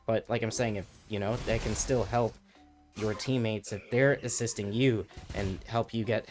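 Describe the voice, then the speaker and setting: A man hosting a gaming channel, talking loosely and casually about a game with a voice he says he is losing, filling his talk with restarts. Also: fairly low pitch. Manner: relaxed, loose, lots of filler "like" and restarts. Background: background music.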